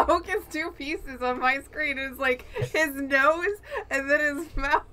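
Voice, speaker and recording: A woman's voice: high voice, fairly even intonation, slow, sharp tone. A woman co-hosting a casual gaming chat over an online video call. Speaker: podcast co-host.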